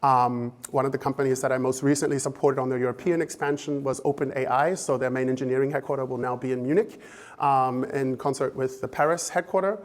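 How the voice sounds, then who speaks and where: A man's voice: mid-range voice, fairly even intonation. American man talking with many fillers. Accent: slight German-influenced American. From United States. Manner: halting, many fillers and restarts. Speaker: speaker at a fellowship event. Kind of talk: impromptu talk to an audience.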